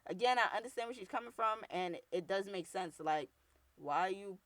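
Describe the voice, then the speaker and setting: A young woman from New York with a deep, rough voice and a New York accent, hosting her own show with blunt, slangy, heated talk. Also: normal speed, fairly bright tone, no room sound. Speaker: podcast host. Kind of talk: casual solo commentary.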